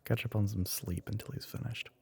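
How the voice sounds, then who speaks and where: A man playing a smug, teasing, telepathic young male classmate in an ASMR roleplay, with a husky, breathy, often whispered voice. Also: lowish voice, steady tone, heavily acted. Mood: dismissive, coaxing. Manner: smug, teasing, drawn-out knowing remarks.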